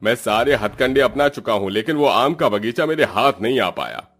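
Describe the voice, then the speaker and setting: A man's voice: mid-range voice, expressive, normal speed, fairly bright tone, soft background music. A man voicing a greedy, scheming village headman in a cartoon story, with a conniving, persuasive manner.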